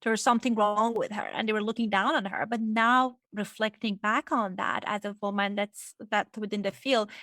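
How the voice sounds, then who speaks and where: A woman's voice: mid-range voice, steady tone, normal speed, hardly any pauses, bright, forceful tone, dry recording. A woman from Iran speaking as a psychologist guest, explaining things reflectively in an interview. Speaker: guest, clinical psychologist and sex therapist.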